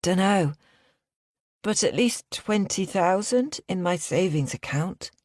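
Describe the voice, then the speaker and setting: A woman's voice: medium pitch, animated, takes it slowly, a little mellow, no echo, voice pushed up. Drunk, slurring woman on a phone call, voiced by a woman narrator; dry, close read. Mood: tipsy.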